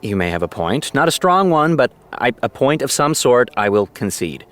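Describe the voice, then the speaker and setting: A man's voice: medium pitch, sing-song, moderate pace, fairly bright tone, faint music behind. A man playing a sardonic, stubborn television boss in an audio drama, dry and wordy as he grudgingly concedes points. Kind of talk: naturalistic audio drama acting.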